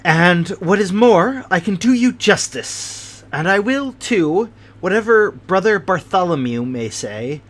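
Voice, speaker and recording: A man voicing a fidgety, anxious bald little man of thirty with a high, thin voice and fussy, overly polite manner. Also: animated, measured pace, hardly any pauses, fairly bright tone. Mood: excited.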